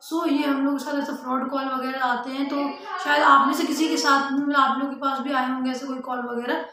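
A woman's voice: high voice, steady tone, brisk, bright, forceful tone. A young adult woman, explaining things casually and directly to her viewers as a vlogger. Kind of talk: casual awareness talk to camera. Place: small room at home. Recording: very echoey room, faint noise.

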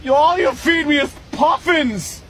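A man's voice: extremely high pitch, a little slow, noisy background. A man voicing a foul-mouthed, aggrieved battle creature in a cartoon parody, whiny and strained as he rants. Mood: angry, pained. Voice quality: strained, shouty. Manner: whiny, sweary ranting. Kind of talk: comedy cartoon parody acting.

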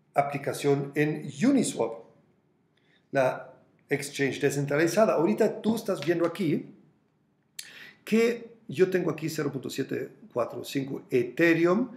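A middle-aged man whose first language is German, hosting a crypto channel and explaining with lively hand gestures to camera in a small room. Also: fairly high pitch. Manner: animated, gestures with both hands while explaining. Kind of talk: explanatory video talk to camera. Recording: echoey room.

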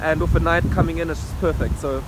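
A man in his thirties filming himself at arm's length outdoors in the rain, talking upbeat and quick about his photo setup. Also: fairly high pitch, fairly even intonation. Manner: upbeat, quick, talks to camera while filming. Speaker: photography vlogger. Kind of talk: casual outdoor vlog talk. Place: rainy city rooftop and street at night, outdoors. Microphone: camera held at arm's length. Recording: loud wind and traffic noise outdoors. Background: loud wind, rain and traffic.

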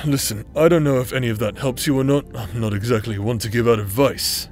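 A man's voice: mid-range voice, fairly even intonation, brisk, natural voice. A man acting in an intimate audio roleplay as a teasing, roguish outcast brother who plays the villain but is secretly tender, with a hesitant, chuckling drawl. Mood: amused, awkward. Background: music.

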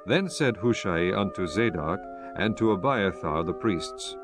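Male narrator, lowish pitch, solemn scripture storytelling, measured delivery, soft music underneath. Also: expressive. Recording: faint music behind the voice.

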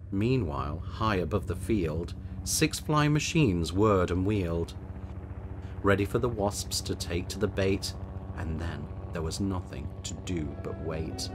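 A man narrating a children's storybook in verse, with theatrical, measured delivery. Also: sits low, background noise. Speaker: audiobook narrator.

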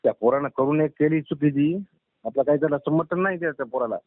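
A man's voice: a little high, quick pace. A man with a rural Marathwada accent speaking defensively and deferentially on a phone call, trying to placate. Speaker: caller, local party worker. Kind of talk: phone conversation. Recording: tinny recorded phone call.

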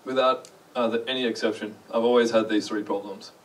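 Young Australian guy on a stage, relaxed and self-mocking, a bit distant from the mic in a large hall. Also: mid-range voice, a little fast. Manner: chatty, self-deprecating, asks the audience questions. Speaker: conference speaker. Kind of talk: casual conference talk. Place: large dark lecture hall.

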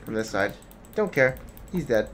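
A man's voice: mid-range voice, big pitch swings, normal speed, background noise. A young man who streams games, chatting casually with blunt, profane banter. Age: young adult. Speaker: game streamer. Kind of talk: casual gaming chat. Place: indoor room at a desk. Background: music, game.

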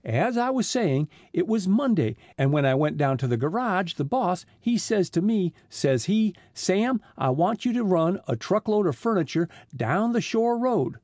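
A man voicing a slow-witted, long-winded middle-aged truck driver who talks in a plodding, folksy way. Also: high voice, very animated, a little mellow, no echo, studio quality, voice pushed up, full character voice. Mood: rambling.

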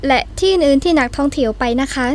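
Woman acting a high-voiced, very quick travel journalist. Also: natural voice. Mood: friendly, helpful. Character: young female travel journalist, reserved but helpful. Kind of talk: radio drama acting.

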